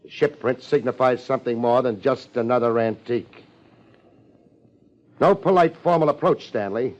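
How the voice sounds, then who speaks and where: A man's voice: mid-range voice, moderate pace, natural voice. Muffled old-radio wisecracking private eye, played by a man. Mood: tough, pressing. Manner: wisecracking, slangy. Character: wry, laid-back, tough private detective. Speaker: lead actor in radio detective drama. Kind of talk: 1950s radio detective acting and narration. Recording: muffled old radio recording, music behind.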